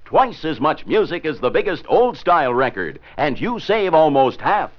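A man's voice: on the high side, sing-song, normal speed. A man reading a scripted 1950s radio commercial on a dull, old broadcast recording. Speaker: commercial announcer.

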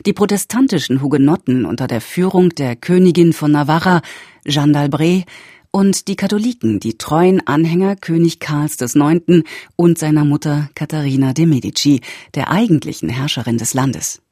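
A woman reading a scripted radio drama introduction in a measured, factual way. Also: deep voice, warm tone, breathy edge, dry recording, clean studio sound. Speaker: announcer, narrator.

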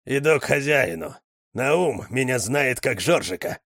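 A man's voice: medium pitch, big pitch swings, unhurried, gravelly, fairly bright tone, dry recording, studio quality, pitched above the performer's own voice, heavily acted. A man voicing a wiry, tattooed, sly male ex-convict who mocks people in prison slang. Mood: wry.

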